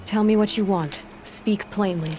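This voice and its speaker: A woman's voice: medium pitch, measured pace, mellow, slight background noise, natural voice. Plain-spoken young huntress played by a woman. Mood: direct, reassuring. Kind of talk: video game dialogue acting.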